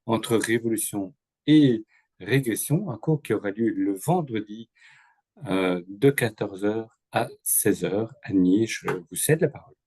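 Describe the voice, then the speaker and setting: A man in charge of the program, formally introducing a guest lecturer, polite and pausing on fillers. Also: fairly low pitch, a little mellow, husky, no echo. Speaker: program director, host.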